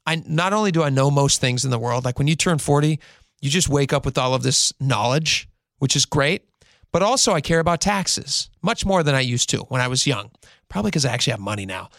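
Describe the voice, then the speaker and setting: A man's voice: mid-range voice, lively intonation, brisk, creaky, fairly bright tone, no echo, studio quality. A man in his forties hosting a radio talk show, chatting casually in a rambling way with lots of fillers. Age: 40s. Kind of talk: casual chat.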